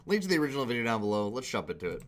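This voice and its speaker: A man's voice: medium pitch, sing-song, racing. A young man with a Canadian accent giving casual, exasperated, sweary commentary as a reaction video host. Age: young adult. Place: small indoor room at a computer.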